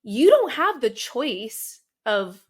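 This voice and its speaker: A woman hosting a podcast interview, speaking earnestly and emphatically, slowing down to stress key words and often checking in with "right?". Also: on the high side, big pitch swings, slightly rough. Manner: frequent "right?" and "um". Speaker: podcast host. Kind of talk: heartfelt interview conversation.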